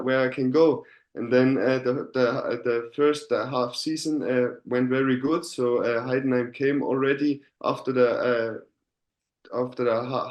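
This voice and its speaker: A man's voice: medium pitch, a little mellow. German footballer guy in his 20s, choppy english, lots of uhs, roomy echo around him. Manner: halting non-native English, many uh fillers and restarts. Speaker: professional footballer, guest. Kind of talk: casual interview.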